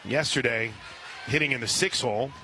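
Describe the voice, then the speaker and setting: Male radio sports announcer, mid-pitched, conversational live softball call, clear outdoor ballpark noise behind. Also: normal speed, poor audio. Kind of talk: live softball play-by-play commentary. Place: outdoor softball stadium broadcast position.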